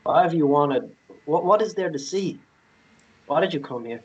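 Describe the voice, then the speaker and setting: An actor playing a troubled man in a fantasy romance table read, halting and repeating himself. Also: on the high side, animated, recorded from a distance, lo-fi sound, close to an everyday voice. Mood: bewildered. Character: troubled, uneasy man rescuing an injured woman.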